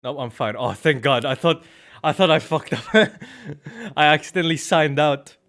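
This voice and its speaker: A man's voice: fairly high pitch, a little fast, a bit bright. A man chatting casually on a livestream, rambling and swearing freely. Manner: profane, rambling. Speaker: streamer.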